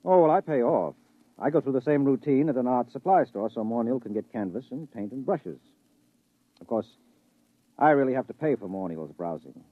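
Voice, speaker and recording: An actor in a 1950s radio drama playing a wry, excitable man who earnestly justifies himself. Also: mid-range voice, moderate pace, no room sound, lightly acted. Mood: wry, explanatory. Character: wry, self-justifying man, broke book lover. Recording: tinny, phone-like vintage radio recording.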